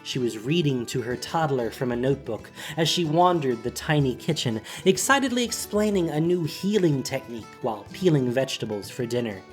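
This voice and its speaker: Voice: medium pitch, lively intonation, a little fast, hardly any pauses, soft background music. A narrator reading a scripted, dramatic story for an audio drama.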